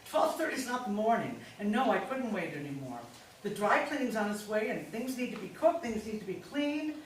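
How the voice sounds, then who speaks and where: A woman's voice: on the high side, lively intonation, a little fast, natural voice. A woman acting a harried wife, very echoey. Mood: scolding. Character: harried, nagging but hopeful older wife and mother. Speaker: actor in a staged reading. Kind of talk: live stage play reading. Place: indoor live staged reading. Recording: echoey room from far off, faint noise.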